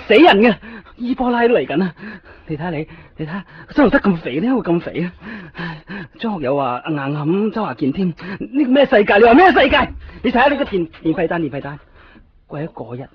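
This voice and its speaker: Fast-talking, fussy office manager. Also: male voice, high voice, big pitch swings. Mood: frantic, exasperated. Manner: nagging, repetitive rhetorical questions. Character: fussy, penny-pinching, panicky male office manager. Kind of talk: Cantonese radio drama acting. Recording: muffled lo-fi radio recording, faint music.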